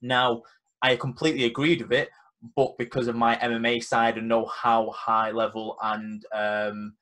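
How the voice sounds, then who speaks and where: A young man hosts a casual podcast in a confident, emphatic way. When he retells conversations, he acts out each side of the exchange. His voice sits at an ordinary pitch for a man. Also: little pitch movement, moderate pace, a bit bright. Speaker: podcast host and event organizer. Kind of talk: casual chat.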